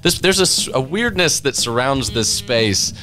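A man talking casually in an interview, telling stories in an animated conversational way. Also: medium pitch, moderate pace. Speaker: recording studio staff member, interviewee. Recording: guitar music playing behind. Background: guitar music.

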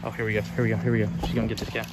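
A man filming a camp game on an open field, giving chatty, excited running commentary. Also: lowish voice, racing, heavy background noise. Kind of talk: casual play-by-play commentary. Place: open grassy field outdoors. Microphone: phone camera held by the filmer.

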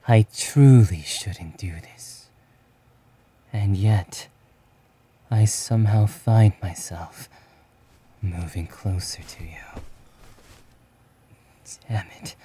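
A man voicing a brooding, conflicted young swordsman and tender lover in a romantic ASMR roleplay, halting and often whispering. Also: on the low side, warm tone, husky, exaggerated voice. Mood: torn, reluctant.

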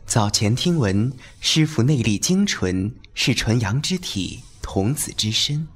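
A man's voice: medium pitch, animated, a little slow, noisy background, natural voice. A man playing a venomous, mocking young disciple in a Chinese martial-arts radio drama, sneering and mock-polite. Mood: spiteful.

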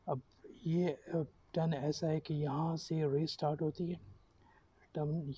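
A man's voice: fairly high pitch, slightly rough, no echo, dull audio. A man in his thirties giving casual, informal vlog commentary, mixing English terms into his talk. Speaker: vlogger. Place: inside a car and outdoors cycling on a track. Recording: steady vehicle and outdoor background noise.

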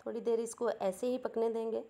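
Cooking host, woman, Pakistani Urdu accent, patient and plain, warm tone. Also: on the high side, steady tone, brisk. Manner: patient, explains each step plainly. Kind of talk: step-by-step cooking instructions.

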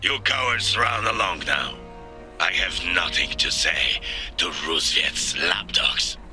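An actor playing a fierce, defiant middle-aged rebel commander in a video game, gruff, blunt and commanding. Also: male voice, low-pitched, animated, unhurried, over music. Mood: scornful defiance. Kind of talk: dramatic video game voice acting.

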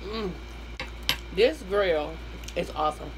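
A hoarse woman with a Southern US accent talks casually to her viewers between mouthfuls, calling them y'all and humming over her food. She sits at a table in a small room at home, close to the camera, with a faint hum of traffic outside. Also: fairly low pitch, animated, takes it slowly. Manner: chatty, addresses viewers as y'all, hums while eating. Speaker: mukbang host. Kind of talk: casual eating-show chat.

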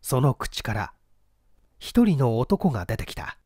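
Animated male storyteller, close and dry. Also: mid-range voice, a little slow, no room sound. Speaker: audiobook narrator. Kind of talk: dramatic literary novel reading.

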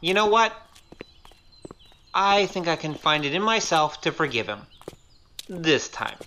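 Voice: medium pitch, big pitch swings, a little slow, bright, forceful tone, slight background noise. An actor playing a cheeky young male rogue in an audio drama, with a wry, glib manner. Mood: indignant, grudging.

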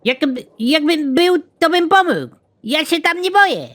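A man voicing a small, dim but eager job applicant in an animated comedy, halting and simple-minded, repeating words. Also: extremely high pitch, voice pushed up, full character voice. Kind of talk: animated comedy series dialogue.

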